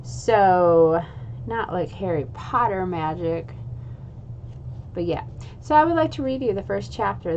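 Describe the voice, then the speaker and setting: Casual upbeat lady, kids book author reading aloud, mid pitch, kinda muffled with faint hiss. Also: darkish tone. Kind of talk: casual chat and read-aloud.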